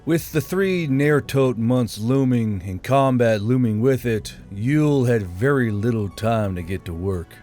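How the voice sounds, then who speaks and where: Audiobook narrator, male, mellow mid-range voice for scripted fantasy fiction, light music bed. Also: mid-range voice, unhurried, few pauses. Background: soft background music.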